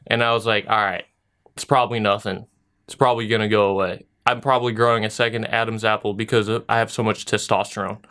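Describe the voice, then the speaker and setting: A man's voice: mid-range voice, a bit bright, smooth. A young man co-hosting a podcast, telling a story in a loose, joking way and laughing often. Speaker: podcast co-host. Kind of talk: casual podcast chat.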